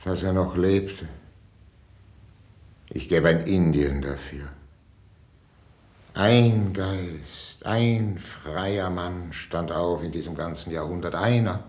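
A man's voice: low voice, takes it slowly, long pauses, a little mellow. An actor playing a bitter, wounded ageing king in a classical 1950s radio drama, declamatory and imperious. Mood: anguished, bitter. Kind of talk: classical 1950s German stage-style radio acting.

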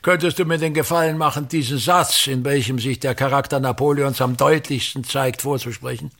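An actor playing an obsessive, domineering old theatre director in a radio play, with a pompous, declamatory delivery. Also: male voice, mid-range voice, fairly even intonation, a little fast, vocal fry, fairly bright tone. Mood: pompous, insistent. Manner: declamatory, pompous, ranting in fits and starts.

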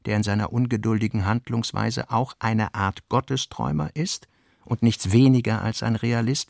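Gravelly male literary narrator. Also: mid-range voice, a little mellow, dry recording. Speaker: narrator and reader of diary notes. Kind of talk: literary radio drama narration. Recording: dry close studio sound.

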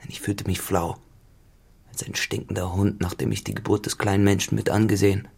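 Radio play: cynical tenant farmer, low husky voice, grave, insinuating, deliberate. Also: male voice, level tone, a little mellow. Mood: brooding, confessional. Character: cynical, dissolute farmhand turned tenant, brooding and insistent. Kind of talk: literary German radio drama acting.

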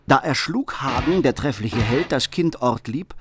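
A man's voice: mid-range voice, animated, a little slow, natural voice. A man narrating a scripted radio play as storyteller. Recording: music behind the voice.

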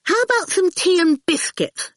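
A woman voicing a fussy, gushing, falsely bright spinster for a storybook, with strained politeness. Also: high-pitched, animated, a little slow, dry recording, studio quality, voice pushed up. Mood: forced brightness.

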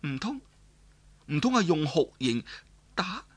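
Man voicing a slow, high-pitched scheming noblewoman. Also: very animated. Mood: anxious, hesitant. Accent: Cantonese. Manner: hesitant, halting, repeats words. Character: scheming older noblewoman, secretly anxious for her lover.

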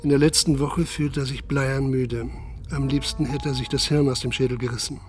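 An actor narrating a radio crime drama in a sombre, detached manner. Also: male voice, mid-range voice, steady tone, moderate pace, soft background music, close to an everyday voice. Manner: sober, detached. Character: sombre male third-person narrator of a crime story.

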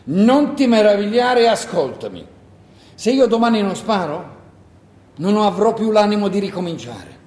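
An actor playing a resolute partisan fighter, speaking tersely and firmly in a measured theatrical stage style. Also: male voice, sits high, very animated, a little mellow. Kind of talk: theatrical stage monologue acting. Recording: echoey hall with long reverb.

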